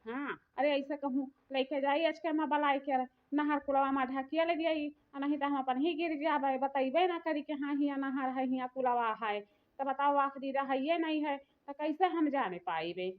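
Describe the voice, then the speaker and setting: A woman's voice: sits high, moderate pace, lightly acted. A woman voicing an aggrieved, vain old village mother-in-law in a comic cartoon, complaining with an Awadhi accent. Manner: complaining, lamenting. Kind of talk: rustic comic cartoon dialogue. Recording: muffled, phone-like narrow sound.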